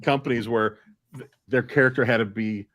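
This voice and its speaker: A man's voice: mid-range voice, fairly even intonation, moderate pace, a bit bright. A man hosting a casual panel chat, prompting the others with questions. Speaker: panel host.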